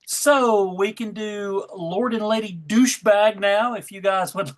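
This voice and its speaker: A middle-aged man hosting a casual panel chat in a halting, hesitant manner. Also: high-pitched, fairly even intonation. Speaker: panel host.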